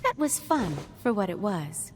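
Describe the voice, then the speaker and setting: A woman's voice: mid-range voice, sing-song, unhurried, noisy background. An actress playing a playful, teasing female summoned spirit with a lilting voice. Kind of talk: video game voice acting. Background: game music.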